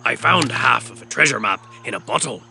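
An actor playing Captain John, a jolly bearded pirate captain, in a cartoon with music behind. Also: male voice, mid-range voice, animated, fairly quick, vocal fry. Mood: hearty.

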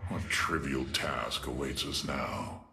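An actor playing an arrogant, cold and scornful male alien warlord in a video game, with a gravelly voice and a haughty, theatrical delivery. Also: extremely low pitch, slow, sharp tone, exaggerated voice. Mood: scornful, bored.

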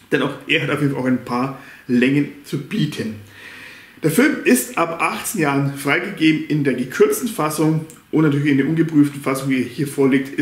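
A man's voice: a little high, echoey room. A man in his forties giving a casual, rambling film review from his living room, repeating himself and stumbling over names. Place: living room at home, indoors. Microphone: camera on a stand in front of an armchair.

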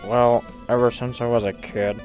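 A man's voice: mid-range voice, flat delivery, normal speed, darkish tone, faint music behind, close to an everyday voice. A man playing a skeptical, condescending griffin traveler in a parody cartoon, with dry, hesitant questions.

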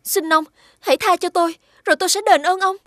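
Nàng tiên chị, giọng cao. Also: female voice, very high voice, fairly even intonation, fast talker, fairly bright tone, dry recording, studio quality. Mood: pleading. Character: female fairy, protective elder sister. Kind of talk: animated fairy-tale acting.

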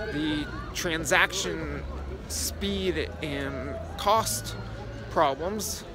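A young man chatting to his phone camera held at arm's length as he walks through a city square at dusk, giving a casual tour. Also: on the high side. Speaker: vlogger. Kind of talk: casual walk-and-talk vlog.

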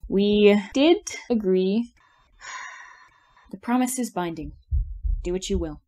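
A woman's voice: mid-range voice, lively intonation, takes it slowly, mellow. A woman playing a taunting, imperious fairy creature in an audio play.

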